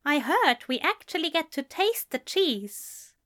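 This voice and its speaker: A woman puts on a prim, fussy gentleman whose voice rises high and lilting. He speaks with a polite, precise, faintly indignant primness and light, lively phrasing. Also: a little slow, full character voice. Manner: prim, lilting, higher and brighter.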